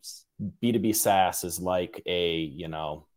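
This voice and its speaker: A man's voice: low-pitched, slow pace, darkish tone. A man hosting a casual interview, talking in loose, meandering phrases. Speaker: interview host.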